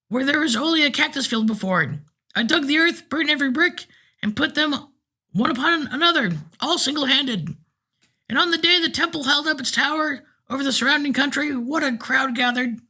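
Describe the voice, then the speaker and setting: A man's voice: very high voice, moderate pace, sharp tone, voice pushed up, exaggerated voice. A man reading a story aloud, voicing a frail, cranky eighty-year-old man with a thin, piping voice. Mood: proud.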